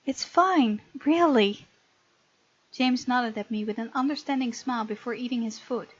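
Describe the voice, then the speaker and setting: High-voiced woman reading a game aloud. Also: normal speed, husky, highs cut off. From Netherlands. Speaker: gamer reading a visual novel aloud and voicing the heroine. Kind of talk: let's play narration with casual asides.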